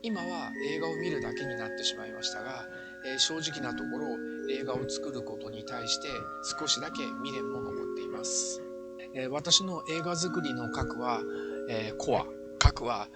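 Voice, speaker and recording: A man's voice: mid-range voice, normal speed, over loud music. An older man, speaking earnestly and explaining as he looks back in an interview. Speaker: former amateur filmmaker, interviewee. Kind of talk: reflective retrospective interview talk.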